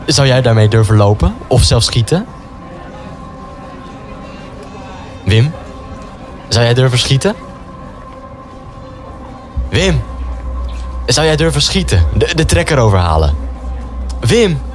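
An actor playing an excitable, defiant young brother in a wartime radio drama, pushy and quick to complain. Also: male voice, medium pitch. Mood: awed, insistent. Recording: music under the voice.

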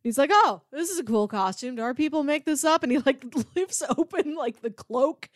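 A woman's voice: high-pitched, lively intonation, normal speed, dry recording, clean studio sound. A woman co-hosting a casual, conversational discussion of films. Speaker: podcast co-host.

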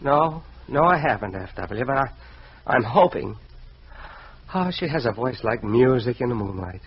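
An American actor playing a genial, excitable and dreamy department store manager in an old-time radio drama, earnest and expressive. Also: male voice, mid-range voice, normal speed, husky. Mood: tender, sincere. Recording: muffled, noisy old radio recording.

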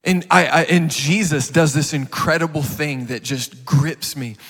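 Thirties male church speaker, earnest and stumbling, slightly high voice, echoing hall. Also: fairly bright tone. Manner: earnest, halting, repeats words while building up. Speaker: guest speaker. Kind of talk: heartfelt sermon. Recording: reverberant hall.

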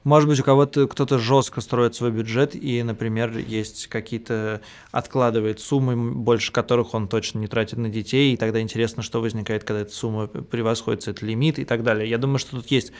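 Man co-hosting a podcast, mid-pitched voice. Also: fairly even intonation, a little fast. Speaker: podcast co-host. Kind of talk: casual podcast conversation.

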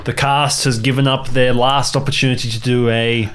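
A man's voice: mid-range voice, level tone, moderate pace, a bit bright, slight background noise. A man bantering casually as a podcast cast member, joking in a playfully mock-formal way.

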